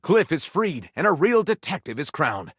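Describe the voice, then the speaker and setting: An actor playing a theatrical, wry male storyteller in a game, narrating tales dramatically and savouring each title with pauses. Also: a little high, very animated, a bit bright, slightly rough, no echo, clean studio sound, exaggerated voice. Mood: theatrical, relishing.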